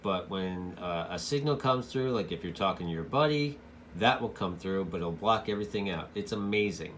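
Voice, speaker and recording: Low-voiced man reviewing gear, noisy recording. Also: animated, moderate pace, a bit bright. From United States. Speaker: hobbyist radio reviewer. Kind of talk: casual product review, demonstrating as he talks.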